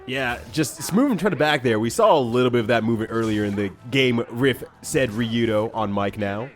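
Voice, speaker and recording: A young man commentates on a video game with friends, laughing often and bursting into exclamations. His pitch swings widely as he reacts, and soft game music plays underneath. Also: expressive, moderate pace. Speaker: let's player commentator. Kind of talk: excited game commentary chat.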